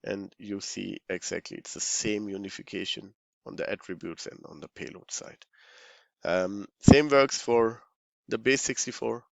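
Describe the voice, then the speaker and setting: A man giving an informal software walkthrough, halting and thinking aloud, often murmuring to himself as he works. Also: on the low side, vocal fry, no room sound. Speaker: software tutorial instructor.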